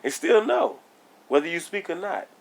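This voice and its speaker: Gravelly man arguing his point. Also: sits high, expressive, moderate pace. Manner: blunt, argumentative, laughs while making points. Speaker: podcast co-host. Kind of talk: casual podcast debate.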